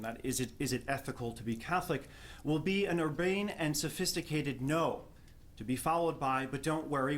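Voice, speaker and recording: A man's voice: a little high, slight background noise. A man from New Jersey with a New Jersey accent, giving an articulate, wry prepared talk as an academic respondent at a live event. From Jersey City, New Jersey, USA. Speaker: professor of theology, respondent. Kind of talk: prepared speech read to an audience. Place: live public talk before an audience.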